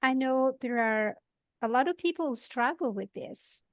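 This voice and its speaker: Italian woman host, accent, gentle earnest way of talking, kinda high voice. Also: animated, moderate pace, dry recording, studio quality. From Italy. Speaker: podcast host. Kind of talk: podcast interview.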